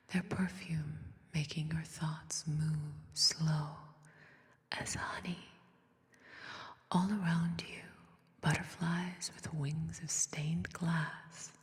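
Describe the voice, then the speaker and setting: A woman whispering a story in a soft-spoken, hushed and deliberate ASMR style, close to a binaural microphone. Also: low voice. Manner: slow, hushed, deliberate delivery with careful pauses. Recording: long reverb over music bed. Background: music.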